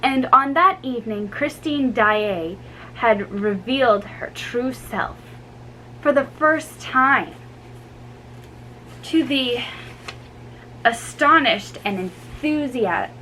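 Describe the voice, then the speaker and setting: Slow, halting woman reading a story. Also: on the high side, animated, pushed and bright, poor audio. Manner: halting, stumbles over foreign names. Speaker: amateur reader. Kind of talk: dramatic book reading. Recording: faint background noise.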